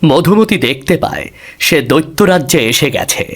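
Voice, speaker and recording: A man's voice: fairly high pitch, animated, normal speed. A man giving scripted narration for a fairy-tale cartoon.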